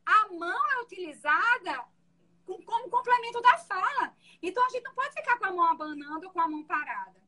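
Soft, very high-voiced woman lawyer. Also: expressive, fairly quick. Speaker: defense lawyer. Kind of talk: explanatory interview talk.

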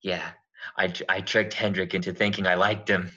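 A man plays a scheming young witch girlfriend with a very low, bright-edged voice, coaxing slyly. The recording sounds dull and lo-fi. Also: little pitch movement, normal speed. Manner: sly, wheedling. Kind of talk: improvised audio comedy.

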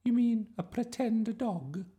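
A performer voicing a flustered, gentle woman schoolteacher in a storybook, hesitant and fussy. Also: mid-range voice, lively intonation, slow pace, husky, pitched above the performer's own voice. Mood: patient, doubtful.

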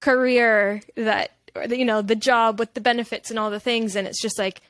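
A woman's voice: a little high, steady tone, normal speed, fairly bright tone, dry recording. A woman from Canada, talking candidly and reflectively in a casual interview, rambling with lots of filler "like". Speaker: podcast guest, holistic nutritionist.

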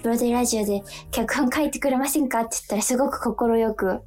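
A woman's voice: a little high, quick pace, a little mellow. A woman co-hosting a radio show, speaking in a polite, casual conversational way. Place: radio studio. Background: background music.

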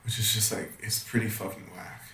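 A man hosting a podcast, chatting in a relaxed, agreeable way with short replies. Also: lowish voice, brisk. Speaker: podcast host. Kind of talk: casual conversation. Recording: echoey room, faint noise.

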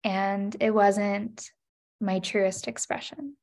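A woman's voice: medium pitch, steady tone, slow, vocal fry, darkish tone. A woman in her thirties, a podcast guest, sharing a personal story in an earnest, reflective way, with many "you know" and "like" fillers.

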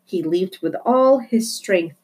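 A woman reading a children's novel aloud as an expressive storyteller. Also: medium pitch, slow, slightly rough. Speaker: storybook reader. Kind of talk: expressive children's book narration.

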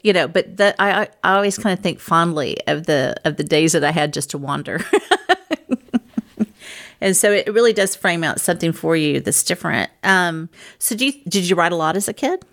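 A woman's voice: on the low side, lively intonation, moderate pace, a bit bright. A woman from Louisiana and Texas who hosts a show, interviewing a guest over a video call in a chatty way. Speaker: show host.

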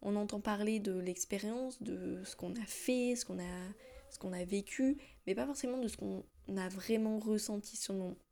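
A woman's voice: medium pitch, moderate pace, creaky, warm tone. A young woman from France hosting her own podcast, talking through her thoughts with many fillers and restarts. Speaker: podcast host. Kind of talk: solo podcast talk.

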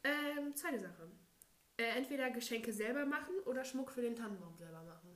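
A woman's voice: fairly high pitch, animated, brisk, slight background noise. Nasal teenage girl with a cold. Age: teens. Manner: chatty, giggly, many fillers. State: stuffy nose from a cold. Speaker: podcast co-host. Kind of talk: casual chat. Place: friend's home. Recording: echoey room.

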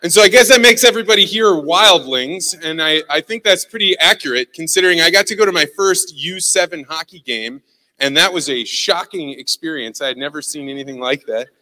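A man from Missouri with a Midwestern American accent, giving a guest talk to a live audience and telling stories in an animated, self-deprecating way. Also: a little high, fairly quick. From St. Louis, Missouri. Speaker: guest speaker, communication consultant. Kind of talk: storytelling keynote talk. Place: large hall with a live audience. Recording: long hall reverb.

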